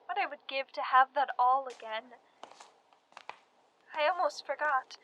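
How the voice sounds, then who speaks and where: A woman's voice: sits high, a little slow, bright, forceful tone, lo-fi sound. A young woman playing a chatty but weary and frightened college student from 1918 in a radio play. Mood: haunted, uneasy. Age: young adult. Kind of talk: dramatized letter monologue, period radio play.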